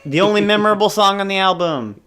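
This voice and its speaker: A man's voice: sits high, fast talker, pushed and bright, smooth. A man chatting casually about an album, joking and rambling, often trailing off mid-sentence. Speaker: podcast co-host.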